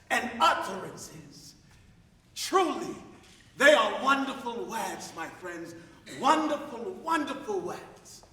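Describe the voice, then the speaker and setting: A man performs an ageing, earnest South African schoolteacher on stage. The delivery is declamatory and philosophical, bright and forceful, with emphatic pauses. It carries from a distance through a long, echoing hall. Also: very high voice, full character voice. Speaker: drama student performing a monologue. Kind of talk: theatrical stage monologue. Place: large ceremony hall stage.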